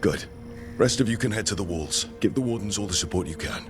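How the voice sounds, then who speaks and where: Man as a deep, determined hero commander. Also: expressive, fairly quick, lightly acted. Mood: encouraging. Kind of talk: video game voice acting. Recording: music behind the voice.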